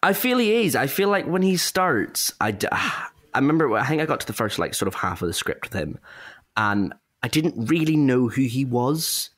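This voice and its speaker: A man's voice: mid-range voice, sing-song, normal speed, fairly bright tone, dry recording, studio quality. A man chatting casually and reflectively about acting in and directing an audio drama. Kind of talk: casual behind-the-scenes chat.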